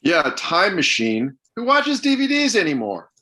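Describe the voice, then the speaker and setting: A man playing an exasperated dad, wildly varied pitch. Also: wildly swooping pitch, moderate pace, pushed and bright, exaggerated voice. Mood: sarcastic, blunt. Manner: blunt, sarcastic. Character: exasperated, sarcastic retired father of a grown son. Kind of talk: comedy script table read.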